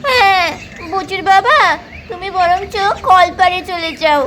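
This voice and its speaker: Woman voicing a very high-pitched fussy doll mother. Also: measured pace, a bit bright, a little hiss or hum. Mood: flustered scolding. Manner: coaxing, scolding, exclamatory. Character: fussy, loving young village doll mother. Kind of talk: doll-play cartoon story acting.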